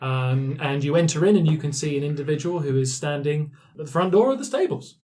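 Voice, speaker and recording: A man's voice: a little high, expressive, brisk, darkish tone. A man narrating an improvised fantasy roleplaying game as game master.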